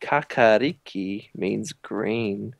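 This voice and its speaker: A man's voice: fairly low pitch, extremely slow, vocal fry, dry recording. A young man with a New Zealand accent joking around while playing a game with a friend. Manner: laid-back, joking. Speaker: gamer, co-host. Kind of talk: casual let's play chat.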